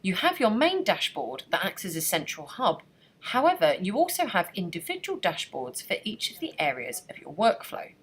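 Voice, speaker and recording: A woman narrating a scripted software training screencast in a clear, even instructional manner. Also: medium pitch, normal speed.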